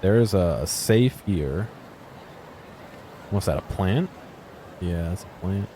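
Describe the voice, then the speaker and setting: A man's voice: sits low, animated, slow, mellow, a little hiss or hum. A man giving casual, unscripted video game exploration commentary, musing aloud and asking himself questions.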